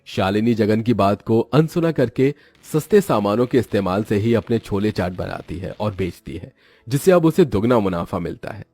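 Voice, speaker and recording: Animated male children's story narrator. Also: lowish voice, moderate pace, soft background music.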